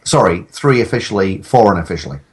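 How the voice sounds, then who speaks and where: Low-voiced man rambling jokily. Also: expressive, quick pace. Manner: rambling, joking, mock-defiant. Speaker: podcast guest, audio drama maker. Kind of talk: casual comic podcast chat.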